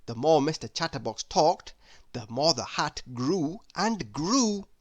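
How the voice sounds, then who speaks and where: Female children's storybook narrator; low register, extremely lively intonation, expressive read-aloud delivery. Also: measured pace.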